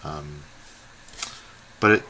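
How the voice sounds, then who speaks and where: A man hosting an unscripted hobby review, talking in a halting way as he thinks aloud while demonstrating. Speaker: host, radio hobbyist reviewer. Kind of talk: unscripted product demonstration commentary. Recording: faint radio noise behind voice.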